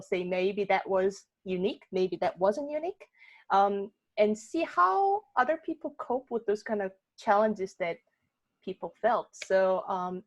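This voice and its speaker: Chatty woman hosting a casual discussion. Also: medium pitch. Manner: chatty, many fillers, laughs often. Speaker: workshop moderator. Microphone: video call.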